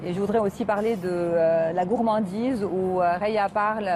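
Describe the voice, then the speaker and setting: Earnest Lebanese-born woman with steady hum behind. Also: medium pitch, normal speed. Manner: earnest, many hesitations with euh. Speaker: environmental activist guest. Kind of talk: TV talk show interview answers.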